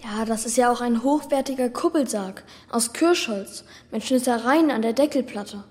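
A woman's voice: a little high, moderate pace, a little mellow, close to an everyday voice. A woman playing a sad, thoughtful child in a radio play, speaking hesitantly and matter-of-factly. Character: Bela, sad thoughtful child with a comatose brother. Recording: long hall reverb.

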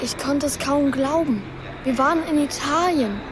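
A woman's voice: sits high, normal speed, heavy background noise, lightly acted. A woman playing a young boy who misses his brother, earnest and heartfelt, narrating and acting in a children's radio play. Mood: earnest storytelling.

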